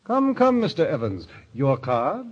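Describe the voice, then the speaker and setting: An actor playing the polished, coolly menacing president of a deadly card club, formal and courteous, in a golden age radio drama. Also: male voice, a little high, huge pitch swings, unhurried, slightly rough, exaggerated voice. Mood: pressing. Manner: formal, courteous, commanding delivery. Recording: dull old radio recording.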